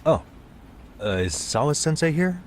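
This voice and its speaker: An actor playing a cool, probing private detective with a wry, measured delivery in a video game cutscene. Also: male voice, on the high side, sing-song, a little hiss or hum. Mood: polite, questioning. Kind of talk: video game cutscene acting, English dub.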